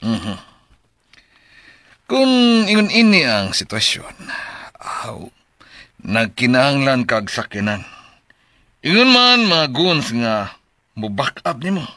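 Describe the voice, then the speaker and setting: A man's voice: medium pitch, wildly swooping pitch, slow pace, long pauses, pushed and bright, no room sound, studio quality, heavily acted. A man with a Cebuano Filipino accent playing a greedy, mocking crime accomplice in a radio drama. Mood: sly, menacing. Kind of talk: Philippine radio drama acting. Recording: radio drama with music underneath.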